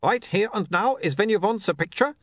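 A man's voice: high voice, expressive, a little fast, breathy edge, dry recording, clean studio sound, voice pushed up. A man voicing a nervous, reformed male vampire who is anxiously polite, in a storybook reading.

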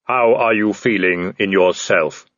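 A man voicing a calm, polite, literal-minded supernatural reaper in an audiobook, speaking in a measured, formal, deadpan way. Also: sits low, dry recording, lo-fi sound. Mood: deadpan, courteous.

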